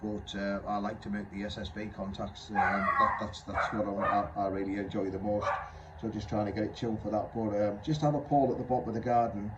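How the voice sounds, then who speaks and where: A man in his fifties chatting casually over amateur radio, explaining things in a halting way. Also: fairly low pitch, level tone, heavy background noise. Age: 50s. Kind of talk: casual radio contact chat.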